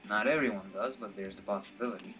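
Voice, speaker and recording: A man voicing a calm, dry-witted, worldly male companion in a visual novel, speaking in a measured, understated way. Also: fairly low pitch, close to an everyday voice, poor audio. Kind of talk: amateur visual novel voice acting. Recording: faint music under the voice. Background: faint music.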